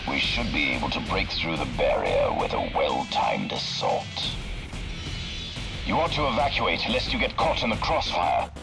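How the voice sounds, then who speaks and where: Formal soldier type for a game, croaky low voice, really animated, loud music. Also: male voice, unhurried, full character voice. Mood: cautious, formal. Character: gruff military officer, cautious, formal ally. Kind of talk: video game cutscene acting.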